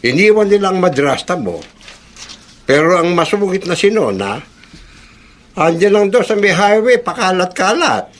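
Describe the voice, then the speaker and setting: Gossipy elderly Filipino man, radio drama: raised pitch, very animated, full of exclamations. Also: moderate pace, pauses often, exaggerated voice. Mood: gossipy, pitying. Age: older. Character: Mang Pilo, chatty old male slum neighbour.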